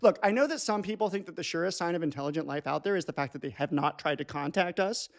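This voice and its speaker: A man hosts a podcast in a casual interview style. His voice is a bit high, and he tosses out dry, deadpan jokes between questions. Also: quick pace. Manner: dry, joking, deadpan asides. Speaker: podcast host.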